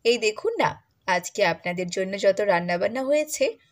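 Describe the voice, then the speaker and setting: A woman playing a harsh, bossy middle-aged stepmother in a cartoon moral tale, with a nagging way of talking and a husky voice. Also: medium pitch, animated, moderate pace, natural voice. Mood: boastful.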